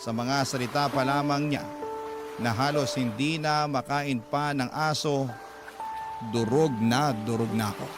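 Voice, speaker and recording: A man's voice: medium pitch, fairly even intonation, a little slow. Filipino male drama narrator over music. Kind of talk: first-person dramatic letter narration. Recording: music bed behind the voice. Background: background music.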